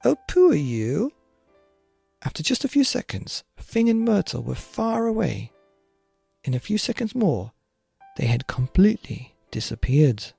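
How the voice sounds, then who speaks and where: A man narrating a children's bedtime story in an expressive, theatrical and deliberate way. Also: fairly high pitch, gravelly, slightly rough, dry recording, soft background music. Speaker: podcast narrator.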